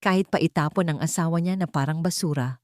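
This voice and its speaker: A woman's voice: low voice, quick pace, no echo, studio quality, lightly acted. A woman voicing a scheming, ambitious businessman who speaks coldly and with scorn in a storybook reading. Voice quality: mixes English into lines.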